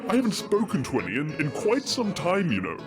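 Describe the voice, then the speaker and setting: A man's voice: high voice, wildly swooping pitch, brisk, music in the background, voice pushed up. A man voicing a regal dragon king in an audio drama, stern in command and a doting, fretful father underneath. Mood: fussy, fretful. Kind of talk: audio roleplay drama acting.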